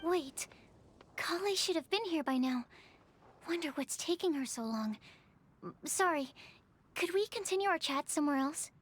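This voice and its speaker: A woman's voice: sits high, animated, normal speed, pauses often, a little hiss or hum. A woman playing a polite, earnest, bookish young woman researcher in an anime video game, speaking carefully. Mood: gently concerned. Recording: background music.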